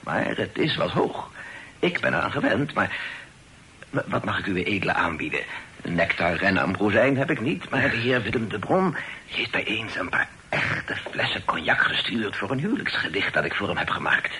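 A man's voice: fairly low pitch, big pitch swings, normal speed, sharp tone, exaggerated voice. A man playing a humble, impoverished poet in a period radio play, obsequious, fussy and apologetic. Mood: eager, hospitable. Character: poor, humble, fussily courteous male poet in garret lodgings. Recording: noisy old radio play tape.

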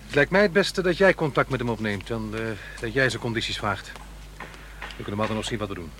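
An actor playing a troubled, reproachful divorced father in middle age, halting and earnest, in a Dutch radio play. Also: medium pitch, fairly bright tone, slight background noise, close to an everyday voice. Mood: awkwardly businesslike. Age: middle-aged. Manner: halting, earnest, self-questioning.

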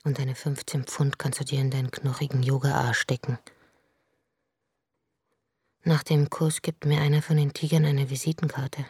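Bitter grieving woman, deep, mellow, husky, dry studio sound. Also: flat delivery, moderate pace, pauses often, no echo, full character voice. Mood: wry. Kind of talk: radio drama monologue.

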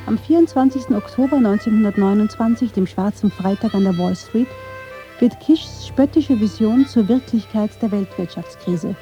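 A woman narrating a radio documentary from a script in a measured storytelling style. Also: medium pitch, warm tone, muffled recording, faint music behind.

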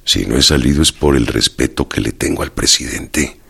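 Un actor que interpreta en una radionovela a un orgulloso y receloso general revolucionario mexicano preso, con acento mexicano y voz velada. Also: male voice, extremely low pitch, moderate pace, creaky, a bit bright, slightly rough, full character voice. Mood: resolute. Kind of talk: radio drama acting.

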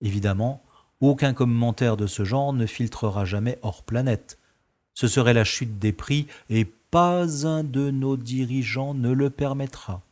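A man's voice: medium pitch, unhurried, a little mellow, close to an everyday voice. A man acting a bored, cynical special agent in a science-fiction audio drama, with sardonic, world-weary complaining. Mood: bored, grumbling.

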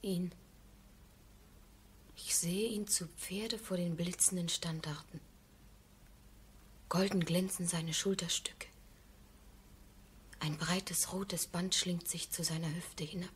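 A dreamy young woman in a seventies radio drama, rather low in pitch and softly intense. She is quietly defiant and hushed, over a faintly noisy recording. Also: steady tone, takes it slowly, long pauses. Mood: entranced whisper. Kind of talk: 1970s German radio play acting.

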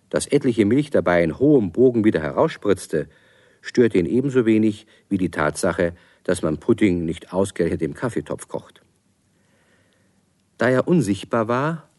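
Low, animated male storyteller, dry sound. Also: no echo. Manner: expressive, clearly phrased. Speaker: narrator. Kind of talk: scripted storytelling narration for children's radio play.

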